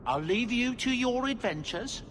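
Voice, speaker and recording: Animated, courteous male dwarf merchant. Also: extremely high pitch, unhurried, background noise. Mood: humble, grateful. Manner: courteous, formal, eager to please. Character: fussy, deferential bearded dwarf merchant, grateful father. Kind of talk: video game cutscene acting.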